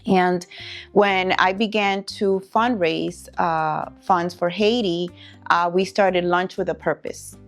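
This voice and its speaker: A woman's voice: on the low side, steady tone, slow, faint music behind. A middle-aged woman from the Dominican Republic who grew up in the Bronx, telling her personal story as an interview guest. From Dominican Republic, raised in the Bronx from age eight.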